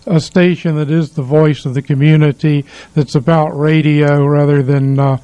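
A man talks casually in a radio studio with a fairly high voice. The tone is mellow and rounded. He is measured and thoughtful, and the sound is very dry. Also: flat delivery, clear, resonant tone, no room sound, dull audio. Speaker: community radio station representative.

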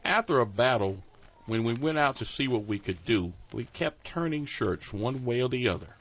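A man's voice: medium pitch, lively intonation, moderate pace, a bit bright, slight background noise. A man playing a humble, joking blind caretaker. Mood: folksy. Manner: folksy and self-deprecating. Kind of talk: audio drama acting. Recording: muffled lo-fi recording.

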